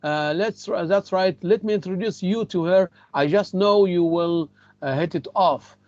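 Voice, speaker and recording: A man's voice: high voice, a little slow, a little mellow, no echo, lo-fi sound. A man with an Egyptian accent teaching an English class, repeating phrases and mixing in Arabic. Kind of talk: classroom lecture.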